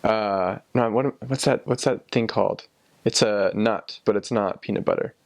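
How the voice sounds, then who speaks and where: Low-pitched young man, casual storyteller. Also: normal speed, vocal fry. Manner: rambling, informal, thinking aloud. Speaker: former missionary sharing experiences.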